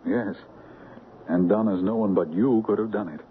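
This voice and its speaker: A man's voice: on the low side, normal speed, lightly acted. An actor playing a wounded, humble king in a radio drama, speaking in a reflective, formal manner. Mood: grateful, reflective. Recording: muffled, noisy vintage radio broadcast.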